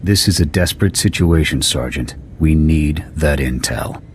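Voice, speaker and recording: An actor playing a stern, no-nonsense military commander in a video game, brisk and authoritative. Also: male voice, a bass voice, slight background noise. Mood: stern, businesslike. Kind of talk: video game dialogue acting.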